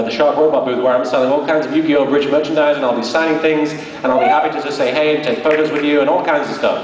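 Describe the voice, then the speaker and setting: A man talking casually and jokingly as a guest at a live convention panel, poking fun at himself. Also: medium pitch. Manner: jokey, self-deprecating. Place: large indoor convention panel room. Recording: echoey hall from afar, loud crowd noise. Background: noisy audience.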